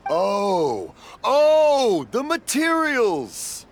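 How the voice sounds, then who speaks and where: A man's voice: very high voice, huge pitch swings, very slow, noisy background, exaggerated voice. An actor playing an elderly, hard-of-hearing father and fireworks craftsman, jovial and rambling, in an anime-style game with music behind him. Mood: agreeable. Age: older. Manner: rambling, jovial, easily confused.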